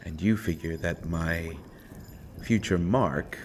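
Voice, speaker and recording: A menacing male assassin from an audio roleplay, acted by a man, speaks in a very deep voice at a slow, deliberate pace. He is brooding and sardonic, leaving dramatic pauses as he mocks. Faint ambient noise sits behind the voice. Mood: grim, probing.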